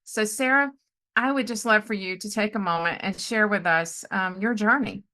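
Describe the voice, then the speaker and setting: Bright-voiced woman hosting a casual interview. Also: mid-range voice, moderate pace. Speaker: podcast host, life coach.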